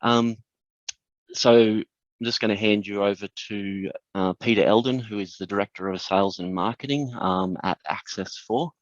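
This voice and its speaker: A man's voice: on the low side, moderate pace, dry recording. A man hosting a webinar, opening it with a hesitant introduction full of "um" and "uh" before handing over to the guest. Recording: dull dry video call audio.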